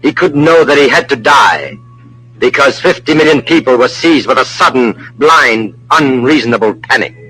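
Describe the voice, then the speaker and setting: A man's voice: medium pitch, lively intonation, normal speed, pushed and bright, faint music behind, rough recording. A man reading scripted teasers and credits as an old-time radio drama announcer, formal, dramatic and emphatic. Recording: old radio broadcast recording.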